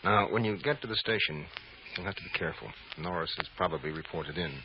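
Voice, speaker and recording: An actor playing a shrewd, decisive man on the run in an old-time radio drama, brisk and businesslike as he lays out plans. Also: low-pitched. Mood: urgent, decisive. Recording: muffled, noisy old radio recording.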